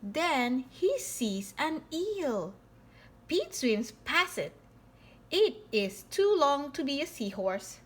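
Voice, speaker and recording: Woman, children's storybook narration, high voice, very animated intonation, unhurried, expressive emphasis. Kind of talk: expressive read-aloud narration for young children.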